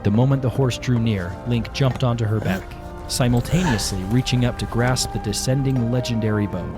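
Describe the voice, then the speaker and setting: A man narrating a scripted fantasy audio drama in a measured storytelling manner. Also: mid-range voice. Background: background music.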